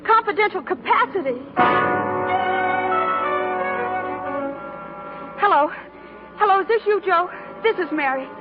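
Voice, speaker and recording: An actress playing a warm, anxious young working woman in a 1940s radio drama, on a dull, noisy old recording. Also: very high voice, expressive, a little fast, loud music behind the voice. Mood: alarmed, urgent.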